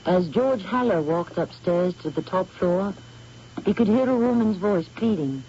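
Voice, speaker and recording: A man hosting and narrating a radio drama in a measured, formal storytelling manner. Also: sits high, animated, vocal fry, darkish tone. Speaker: host and narrator. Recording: dull old radio broadcast, faint hiss.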